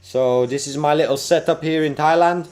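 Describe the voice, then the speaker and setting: A man's voice: on the high side, fairly even intonation, normal speed, darkish tone, faint music behind. A man from Belgium with a mixed UK, New Zealand and American accent, hosting a casual livestream and chatting upbeat to viewers while working, tired after a night awake. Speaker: livestream host. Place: home desk setup.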